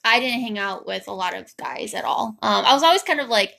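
A woman's voice: fairly high pitch, animated, fairly quick, creaky, pushed and bright. A young woman hosting a casual chat, explaining her side of a story in loose, informal phrasing. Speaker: host telling the couple's story.

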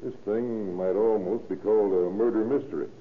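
A thin, phone-like vintage radio recording. A male actor plays a deep-voiced police captain in a crime drama. He is shrewd and authoritative, firing out clipped orders and questions. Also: fairly even intonation, normal speed, close to an everyday voice. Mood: businesslike, musing. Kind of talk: 1930s-40s radio drama acting.

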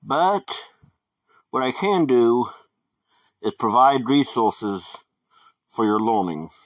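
A man hosting a podcast, giving a scripted introduction in a measured, deliberate way. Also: medium pitch, very animated, no echo. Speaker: podcast host.

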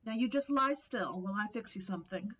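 A woman's voice: medium pitch, lively intonation, fast talker, fairly bright tone, slightly rough, muffled recording. A woman playing a caring, motherly telephone operator in an old-time radio drama, coaxing in friendly slang.

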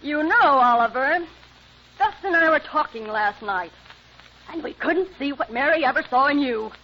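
An actress playing a nosy, outspoken married neighbor with a sharp-tongued manner in an old-time radio comedy. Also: female voice, sits high, lively intonation, normal speed, slight background noise. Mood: tart. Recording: muffled old radio recording.

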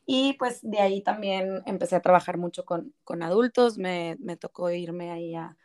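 A woman with a Mexican Spanish accent, a clinical psychologist speaking as a guest in a casual podcast interview, soft-spoken and hesitant. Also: lowish voice, normal speed.

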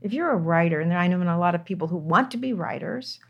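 An older woman with a somewhat low voice speaks candidly as an interview guest. She talks emphatically and repeats words for stress. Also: lively intonation, fast talker, muffled recording. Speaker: screenwriter guest.

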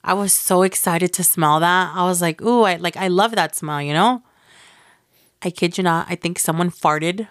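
A woman's voice: lowish voice, normal speed, no echo, studio quality. A woman co-hosting a casual podcast chat, sounding nasal and congested from a cold, chatty and laughing often. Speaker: podcast co-host.

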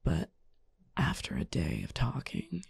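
A woman co-hosting a podcast in a soft whisper, speaking frankly and crudely, close to a special ASMR microphone, with a voice she says she often strains. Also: extremely low pitch, wildly swooping pitch, measured pace, vocal fry, slightly rough, dry recording. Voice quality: strains her voice. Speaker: podcast co-host.

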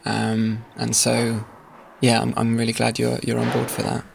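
A man hosting a podcast interview, asking questions in a halting way with many ums and restarts. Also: medium pitch, completely flat delivery, creaky, background noise. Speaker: podcast host.

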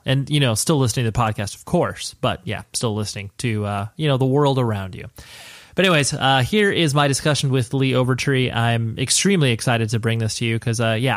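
A man's voice: medium pitch, no room sound, studio quality. A man in his thirties hosting a podcast, chatting casually in an informal, rambling, slangy way. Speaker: podcast host.

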